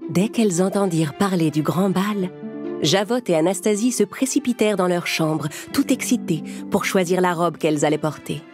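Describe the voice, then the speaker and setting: A woman storyteller narrating a scripted fairy tale for children, expressive and clearly phrased. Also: fairly low pitch, normal speed. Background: music.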